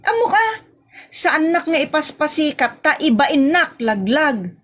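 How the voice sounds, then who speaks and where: A woman's voice: high-pitched, lively intonation, unhurried, fairly bright tone. A woman playing a scornful wife who nags and chides her bragging husband in a comedy audio drama.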